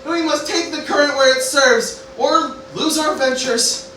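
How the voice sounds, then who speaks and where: A woman's voice: high-pitched, measured pace, pushed and bright. A woman playing an anxious teenage grandson who declaims stammering Shakespearean lines in a comic stage parody. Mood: solemn. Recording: very echoey room, distant mic, noisy.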